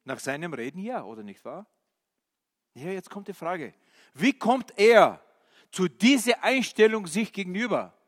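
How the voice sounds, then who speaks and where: A male preacher, a non-native German speaker, giving an animated sermon with many questions to his listeners and hesitant fillers. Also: high voice, measured pace. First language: not German. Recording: reverberant hall.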